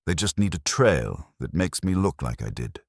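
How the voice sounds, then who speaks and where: A man's voice: very deep voice, sing-song, normal speed, creaky, breathy edge, no room sound, clean studio sound, heavily acted. A man voicing a composed, defiant businesswoman client, precise and firm, in a mystery audiobook. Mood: resigned.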